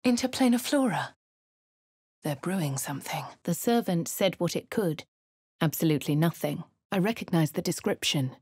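Posh English adventurer. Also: female voice, on the low side, expressive, normal speed, a little mellow, breathy edge, dry recording, studio quality. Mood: wry. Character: refined posh young woman adventurer. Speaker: voice of a player character. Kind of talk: game voice lines, first-person narration.

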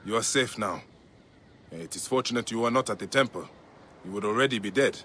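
A man acts out a solemn male warrior sworn to protect, for a video game cutscene. His voice sits fairly low with a bright, firm edge. The speech is formal and measured, principled and stern. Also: a little hiss or hum, natural voice. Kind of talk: dramatic game cutscene dialogue.

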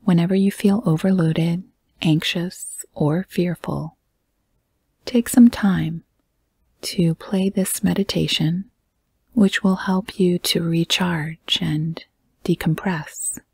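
A woman with a very breathy, almost whispered voice leads a guided meditation. Her pitch is low and her delivery is slow and gentle, with calm pauses between short phrases. The sound is close and dry. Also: no room sound.

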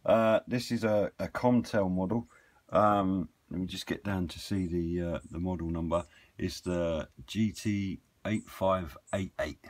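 A British man with a low voice talks through gear for an online video. He is informal and matter-of-fact, feeling his way through an unscripted walkthrough. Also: steady tone, a little slow. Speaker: YouTube presenter of radio equipment videos. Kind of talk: casual unscripted product walkthrough.

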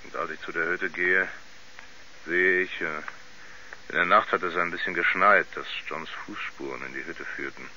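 An actor playing a plain-spoken country sheriff, giving a halting, thoughtful witness account in a radio play. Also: male voice, low-pitched, steady tone, bright, forceful tone. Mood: puzzled, matter-of-fact. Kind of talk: 1970s German radio play acting. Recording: slightly dull, faintly noisy 1970s radio recording.

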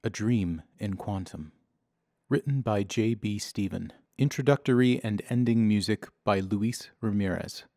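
A man narrating a scripted audio drama in a measured storytelling manner, with a gravelly voice. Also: fairly low pitch. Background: music.

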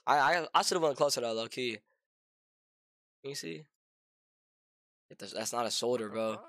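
A man's voice: mid-range voice, a little slow, a bit bright, dry recording, studio quality. A man chatting and reacting during a game in casual slang, emphatic and often repeating himself.

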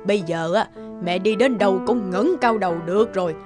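A woman's voice: mid-range voice, fairly quick, lightly acted. A woman voicing an old grandmother in a cartoon, stern yet doting, given to scolding and exclaiming. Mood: gushing. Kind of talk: cartoon voice acting. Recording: music behind the voice.

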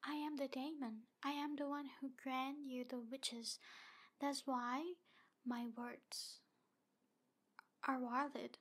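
Woman acting a high-voiced, breathy, obsessive demon girl. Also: flat delivery, a bit bright, heavily acted. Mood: coaxing. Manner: breathy, halting, drifting into whispers. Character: jealous, obsessive, pleading yandere demon woman. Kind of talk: ASMR roleplay acting.

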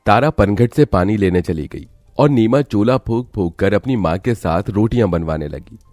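A man's voice: fairly low pitch, normal speed, darkish tone, dry recording, clean studio sound, faint music behind. A man narrating a scripted moral story as a storyteller.

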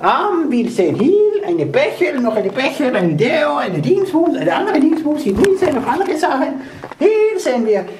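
A young man playing a silly foreign tour guide in halting, mock-formal broken German, over-explaining everything to the camera. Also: very high-pitched, big pitch swings, a crowd in the background, pitched above the performer's own voice. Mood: mock-earnest. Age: young adult. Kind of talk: comic room tour to camera. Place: small tiled hotel bathroom. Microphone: handheld camcorder. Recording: echoey tiled bathroom, noisy camcorder.

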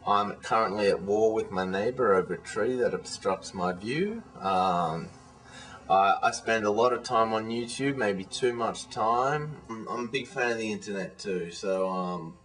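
A man's voice: lowish voice, measured pace, fairly bright tone, far from the mic. A man in his twenties with an Australian accent, talking frankly in a casual webcam video reply from his living room, rambling with many fillers and restarts. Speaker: vlogger. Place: living room at home, indoors. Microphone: webcam at desk distance.

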